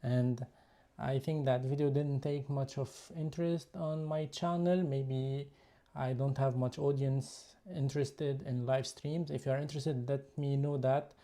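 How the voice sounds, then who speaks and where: A man with a Lebanese accent hosts a casual photography live stream, chatting as he shows off his cameras. His voice is deeply dark and mellow, sitting in an average pitch for a man. English is not his first language, and he talks it through in an easy, conversational way. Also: steady tone, measured pace. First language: Lebanese Arabic. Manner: chatty, explaining gear while showing it. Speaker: YouTube photography channel host.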